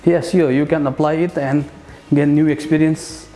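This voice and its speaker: A young man from Nepal, a master's student, talking casually about his studies with many hesitant fillers and soft chuckles, recorded on a lapel mic. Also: fairly high pitch, fairly even intonation, normal speed. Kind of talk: casual testimonial interview. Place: outdoors on a city riverside path and in a bright building.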